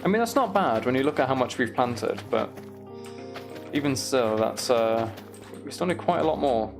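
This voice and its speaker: A man with a mid-pitched, creaky voice talks through his game on a livestream. He thinks aloud in a casual, unhurried way, chatting as he plays. Music sits behind his voice. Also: expressive. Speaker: streamer. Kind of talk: casual gaming livestream chat.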